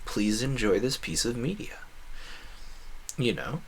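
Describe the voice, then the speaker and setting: A man's voice: mid-range voice, big pitch swings, slow, slight background noise, poor audio. A man livestreaming a video game, chatting casually and musing at length in a talkative way. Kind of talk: casual rambling livestream chat over gameplay.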